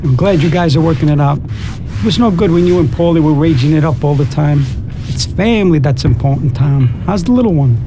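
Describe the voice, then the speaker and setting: A friendly, family-minded mobster played by a man chats in a somewhat high voice with a caring manner. Loud music runs behind the game dialogue. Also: animated, fairly quick, natural voice, low-quality recording. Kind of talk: video game voice acting.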